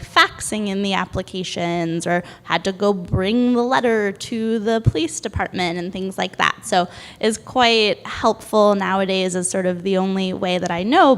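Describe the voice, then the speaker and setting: A woman with a small, squeaky voice speaking on a panel in a chatty, upbeat way. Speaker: panelist, event producer. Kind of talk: panel discussion. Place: large hall with an audience. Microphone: handheld microphone. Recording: hall reverb.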